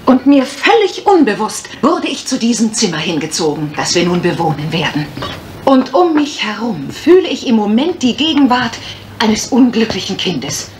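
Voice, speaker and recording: A woman's voice: mid-range voice, very animated, normal speed, darkish tone, close to an everyday voice. A woman plays a playful lady detective in a vintage radio mystery. Her mid-range voice swoops expressively, arch and theatrical, full of mischief. A faint noise lies behind the old recording. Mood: mystical, theatrical. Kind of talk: German radio crime drama acting.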